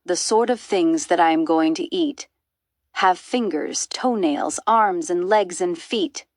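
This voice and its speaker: A woman's voice: lowish voice, slow, dry recording, studio quality. Woman voicing a gloating, greedy enormous crocodile. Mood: sly, smug. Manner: gloating, savouring its words. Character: enormous greedy boastful crocodile, gleefully wicked.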